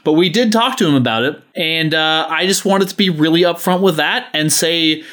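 A man's voice: a little high, moderate pace, fairly bright tone. A man hosting a podcast, talking to listeners in a frank, emphatic way with some swearing. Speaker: podcast host. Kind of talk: podcast talk, addressing listeners.

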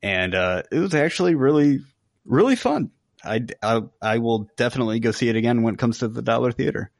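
A man's voice: mid-range voice, expressive, brisk, gravelly, no echo, studio quality. A man co-hosting a podcast in casual conversation. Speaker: podcast co-host. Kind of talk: casual chat.

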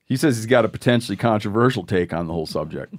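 A man's voice: fairly low pitch, lively intonation, fast talker. A man hosting a casual podcast chat, reading listener mail aloud and making joking asides. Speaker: podcast host.

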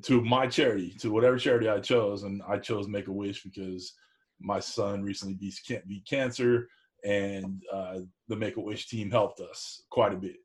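A man's voice: lowish voice, fairly even intonation, normal speed. A man in a casual conversation, talking in a rambling, folksy and plain-spoken way as a show host. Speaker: co-host of an online cooking show, interview guest.

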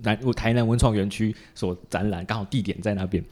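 A man speaks Mandarin with a Taiwanese accent in a fairly low voice. He hosts a casual chat, joking along and often doubling up his short responses. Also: fairly even intonation, fast talker, darkish tone. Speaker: podcast host.

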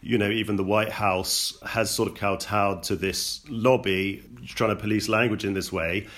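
A man's voice: fairly low pitch, fairly even intonation, moderate pace, fairly bright tone. A man speaking as a panel guest, hesitant and wary, often repeating his words. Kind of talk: conversational commentary.

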